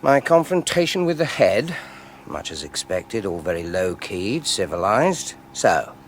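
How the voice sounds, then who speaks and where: A bitter, sarcastic British scientist of forty in a radio drama. His lowish voice swings expressively through clipped, brooding lines, with some background noise and ambience. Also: big pitch swings, unhurried. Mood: dry. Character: bitter, sardonic forty-year-old male research scientist. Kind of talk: 1980s BBC radio drama acting.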